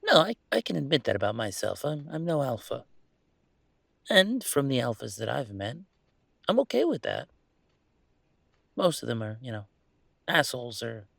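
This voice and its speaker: Casting: male actor for young male werewolf, audio drama; gravelly, dry close sound, wry and wounded, halting confessional delivery. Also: fairly low pitch, fairly bright tone, no echo, exaggerated voice. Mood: resigned, wry. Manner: halting, sardonic, rambling confiding. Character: troubled young male werewolf, wry, self-deprecating, hurting. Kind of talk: intimate audio roleplay monologue.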